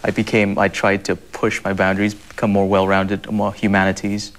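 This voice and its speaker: Young man, from Zambia, low voice, earnest, lots of fillers and restarts, interview guest. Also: completely flat delivery, moderate pace. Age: young adult. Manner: earnest, repeats words and uses many fillers. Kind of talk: interview answers.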